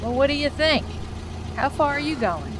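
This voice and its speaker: A woman's voice: high-pitched, very animated, moderate pace. A woman playing a brash, down-to-earth young hitchhiker in a radio drama, slangy, sassy and blunt. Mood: breezy, tired. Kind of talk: 1940s-style radio drama acting. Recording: faint background noise, community theater recording.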